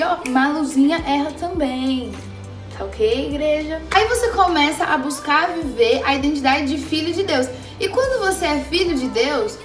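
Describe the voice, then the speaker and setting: A young woman vlogger chatting casually and motivationally, animated and slangy, speaking straight to her viewers. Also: high-pitched. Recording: roomy echo, faint background music.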